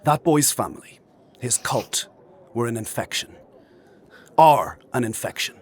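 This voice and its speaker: A man voicing an ancient, imperious spirit mentor in a dark fantasy audio drama, husky and commanding, with formal, condescending speech. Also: mid-range voice, expressive, unhurried. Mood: righteous, menacing.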